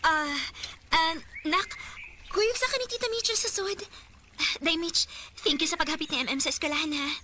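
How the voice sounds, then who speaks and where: A woman's voice: very high-pitched, normal speed, bright, forceful tone. A woman playing a hurt, guarded single mother in a radio drama, with a Cebuano Filipino accent. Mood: flustered. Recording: clearly noisy radio drama track.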